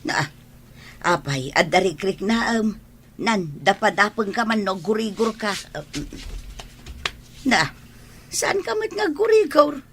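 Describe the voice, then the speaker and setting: A woman's voice: medium pitch, very animated, slow, pauses often, fairly bright tone, a little hiss or hum. A woman playing a fussing, candid elderly mother in a radio drama, coaxing and scolding expressively. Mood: nagging, concerned. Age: older.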